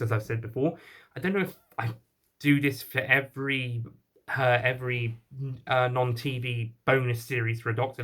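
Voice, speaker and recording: A man with a medium-pitched voice delivers an off-the-cuff review as an online critic. He speaks in a halting, rambling manner, often repeating words and rephrasing his point midway through. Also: a bit bright. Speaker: online reviewer of audio drama. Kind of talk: unscripted spoken review.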